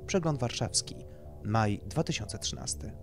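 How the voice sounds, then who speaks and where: A man's voice: fairly low pitch, sing-song, a little slow. A man reading scripted horror fiction as a dramatic narrator, with music behind him.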